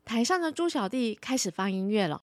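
Female children's storyteller, high voice, close dry sound over light music. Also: lively intonation, brisk, dry recording. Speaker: children's story podcast host.

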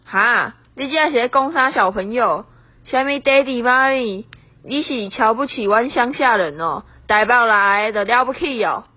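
A woman's voice: on the high side, normal speed, lightly acted. A young woman with a Taiwanese accent voicing a bossy, scolding middle-aged mother-in-law in an amateur radio play, nagging as she switches between Hokkien and Mandarin. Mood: nagging, disdainful. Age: young adult. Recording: dull, lo-fi, roomy home recording.

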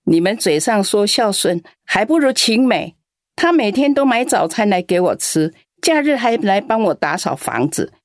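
A woman's voice: mid-range voice, very animated, moderate pace, no room sound, studio quality, natural voice. A woman acting a lonely elderly grandmother in a radio drama, doting on the young but scolding her grown daughter in an aggrieved way. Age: older. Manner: fond and sighing, turns scolding and aggrieved.

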